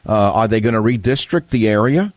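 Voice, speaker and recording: An older man with a New York accent, hosting a radio talk show. His voice sits low, and his questions come blunt and pointed, rising in emphasis. It is dry and close on a studio mic. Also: expressive, brisk, no room sound. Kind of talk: radio call-in interview.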